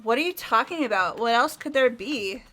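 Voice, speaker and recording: A woman's voice: fairly high pitch, animated, moderate pace, fairly bright tone. A woman voicing a hot-tempered young woman who speaks bluntly and emotionally. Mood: furious. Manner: emotional, outspoken.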